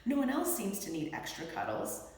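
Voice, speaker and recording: A middle-aged woman, a physician, reading her own book aloud in an expressive, measured and deliberate way. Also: medium pitch. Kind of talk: expressive audiobook-style read-aloud. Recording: very echoey room with long reverb.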